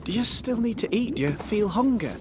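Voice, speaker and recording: An actor playing a polite, curious and awkwardly hesitant young gentleman in a video game. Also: high voice, expressive, brisk, background noise. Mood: fascinated, awkward. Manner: hesitant, courteous, bookish. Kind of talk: dramatic video game acting. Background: game music.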